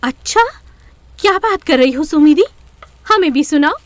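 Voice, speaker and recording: A woman's voice: high voice, expressive, normal speed. A woman playing a crafty married neighbour in an audio drama, sweetly insinuating and knowing. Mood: knowing, teasing. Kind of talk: Hindi audio drama acting.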